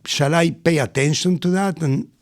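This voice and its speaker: A middle-aged man from Argentina with an Argentinian accent, a guest in an interview who tells lively stories and laughs often. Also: a little high, normal speed. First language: Spanish. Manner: animated, laughs often, acts out voices in anecdotes. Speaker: restaurant executive guest. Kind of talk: storytelling interview.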